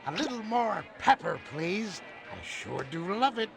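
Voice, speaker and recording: A man's voice: sits high, very animated, measured pace, gravelly, a bit bright, full character voice. A man voicing a cheerful, befuddled old superhero in a cartoon game, genial and oblivious. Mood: content. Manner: genial, befuddled, literal-minded. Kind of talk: video game cartoon voice acting. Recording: music behind.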